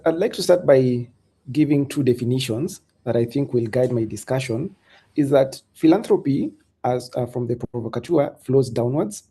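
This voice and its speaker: A man speaking as a panelist in a discussion, making his points in a measured, reflective way with rhetorical questions. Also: medium pitch, mellow, husky.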